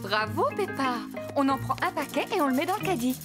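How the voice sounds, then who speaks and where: A woman voicing a kind, sensible mother pig in a preschool cartoon, gentle and encouraging. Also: high voice, expressive, fairly quick. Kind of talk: French-dubbed preschool cartoon acting. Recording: music behind the voice.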